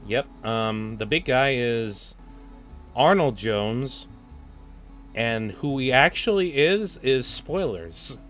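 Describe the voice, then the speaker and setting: A man's voice: medium pitch, expressive, slow pace, a bit bright. A man hosting a casual livestream, speaking hesitantly with stammers and a giggly manner over a music playlist. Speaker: livestream host.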